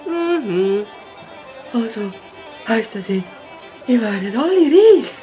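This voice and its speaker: Lively, sensible young beaver boy. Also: a little high, slow, faint music behind. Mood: doubtful. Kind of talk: cartoon character acting.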